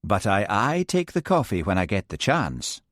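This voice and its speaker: A man doing a soft Highland constable. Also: sing-song, moderate pace, no room sound, clean studio sound. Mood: mild. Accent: Scottish Highland.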